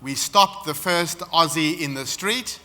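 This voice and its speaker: A man's voice: fairly high pitch, unhurried, pushed and bright. A man from South Africa with a South African accent, giving a confident, anecdotal seminar talk as guest speaker in an expo hall. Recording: reverberant hall.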